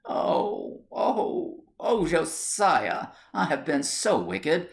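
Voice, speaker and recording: Man putting on an overwrought, high-pitched young wife. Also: wildly swooping pitch, drawn-out words, creaky, exaggerated voice. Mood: remorseful. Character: fretful, overwrought young wife in a comic story.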